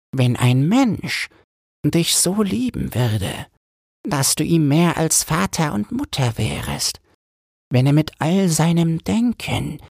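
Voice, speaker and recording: A man voicing a wise, resigned old mermaid grandmother in a thin, breathy falsetto, slow and instructive, for a fairy-tale audiobook. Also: darkish tone, dry recording, clean studio sound, exaggerated voice. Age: older.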